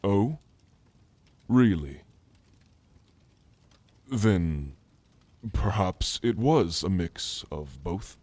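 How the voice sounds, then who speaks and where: Guy doing a big gentle nerdy orc mage, really deep and slow, bashful soft asmr talk, super dry close sound. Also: animated, no room sound, full character voice. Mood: gentle, coaxing. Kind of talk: ASMR roleplay.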